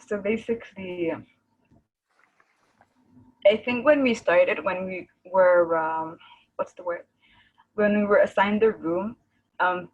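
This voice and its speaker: A woman with a low, breathy voice chats on a video call. She rambles and searches for words, laughing easily as she goes. The call audio sounds muffled and dull. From Egypt. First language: Arabic. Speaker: guest artist. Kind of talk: casual video call chat.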